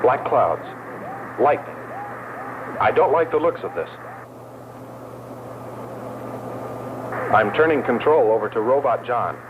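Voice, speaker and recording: An actor playing a tense spaceship pilot reporting danger over radio in a 1960s science fiction film. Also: male voice, medium pitch, lively intonation, moderate pace. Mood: uneasy. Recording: very noisy, tinny old film radio sound.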